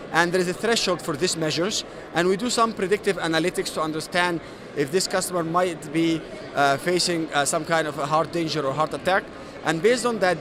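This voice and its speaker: A middle-aged man from Egypt, a solution architect, answering questions on a noisy exhibition floor and explaining at length with many "uh" fillers. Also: a little high. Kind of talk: trade show interview. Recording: noisy trade show floor.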